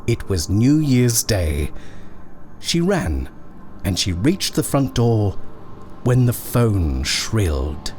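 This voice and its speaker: A man reading a horror short story as dramatic, suspenseful narration, measured and full of pauses. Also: lowish voice.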